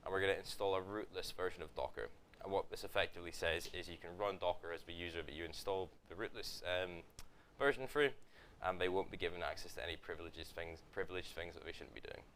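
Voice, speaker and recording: Young guy, deep voice, explaining step by step at a meetup, um-ing a bit. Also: fast talker, hardly any pauses, slight background noise. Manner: steady step-by-step explaining with frequent fillers. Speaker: workshop presenter. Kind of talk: live hands-on tech tutorial. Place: office event room with live audience.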